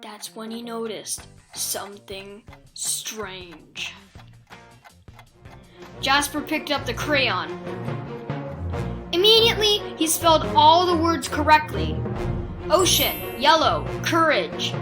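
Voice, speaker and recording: Slow high-voiced woman telling spooky stories. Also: animated, music in the background. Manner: theatrical, suspenseful, with deliberate pauses. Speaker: children's storybook reader. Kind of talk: dramatic read-aloud narration of a spooky picture book.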